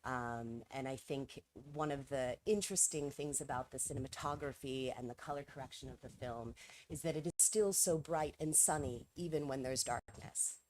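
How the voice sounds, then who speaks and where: A woman's voice: very deep voice, normal speed, darkish tone, slight background noise, poor audio. A woman speaking for the film's team at a press conference, explaining the film in fluent, articulate English.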